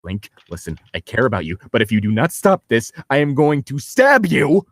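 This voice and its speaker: A man's voice: medium pitch, sing-song, normal speed, dry recording. A man voicing a melodramatic, lovesick and threatening heroine in a mock reading of a fantasy story. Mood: melodramatic pleading. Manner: melodramatic, mocking.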